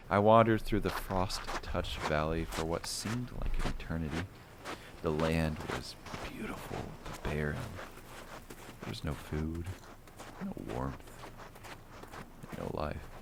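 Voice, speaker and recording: A man with an extremely low voice reads a fantasy bedtime tale. His delivery is measured and dramatic, like a storyteller setting a grim scene. There is some background noise under the voice. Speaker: storyteller, narrator. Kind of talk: first-person bedtime fantasy story reading.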